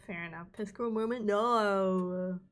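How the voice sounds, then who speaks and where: A woman's voice: mid-range voice, slow pace. A woman streaming a game live who chats casually, jokes and laughs often. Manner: chatty and joking, laughs often. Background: game music.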